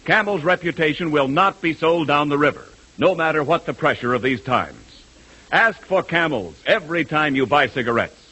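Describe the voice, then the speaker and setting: A man's voice: a little high, lively intonation, moderate pace, bright, forceful tone, breathy edge, rough recording. A man announcing in the style of a 1940s radio advertisement, emphatic and declamatory, on an old broadcast recording. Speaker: radio announcer, sponsor pitchman.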